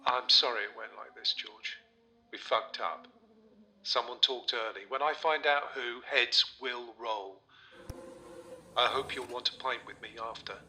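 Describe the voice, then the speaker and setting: A man's voice: mid-range voice, measured pace, sharp tone, slight background noise. A man voicing a regretful male team boss.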